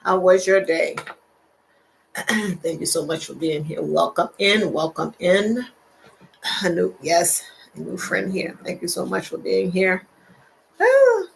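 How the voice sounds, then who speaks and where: A woman's voice: fairly low pitch, very animated, slow pace, creaky, long pauses, slight background noise. An older woman hosting a casual livestream, chatting with viewers, laughing often and repeating her phrases. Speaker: livestream host. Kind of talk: casual live chat reading viewer comments.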